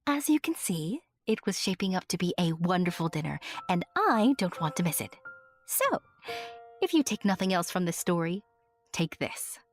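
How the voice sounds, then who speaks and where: A woman narrating a fantasy audio drama as a small, fond and earnest companion telling the tale first-hand. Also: lowish voice.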